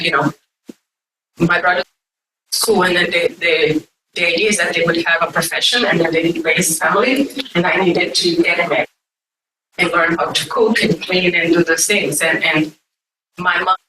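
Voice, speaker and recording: A woman with a Brazilian accent giving an informal, unscripted talk to an audience in a halting, digressive way. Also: fairly low pitch, bright, forceful tone. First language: Portuguese. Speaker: guest speaker. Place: echoey indoor conference room. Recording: echoey distant room with faint noise.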